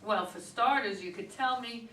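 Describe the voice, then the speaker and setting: Bright-voiced probing detective played by a woman. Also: fairly high pitch, normal speed, lightly acted. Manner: firm, questioning. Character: persistent, probing, no-nonsense female police detective. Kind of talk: stage play read aloud by readers' theater. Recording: echoey room, distant mic, faint noise.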